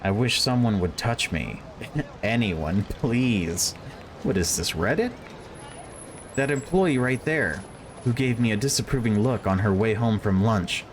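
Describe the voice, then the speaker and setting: A man with a fairly low voice reads a story game aloud in an expressive, casual way. His delivery moves between narration and wry, joking commentary. There is some noise behind his voice. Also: measured pace. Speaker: video game let's player reading the game aloud.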